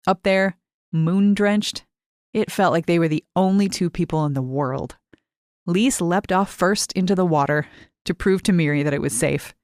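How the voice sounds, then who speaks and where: A woman reading a short story aloud as expressive, scripted narration with measured phrasing and deliberate pauses. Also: on the low side, no echo, studio quality. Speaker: short fiction reader.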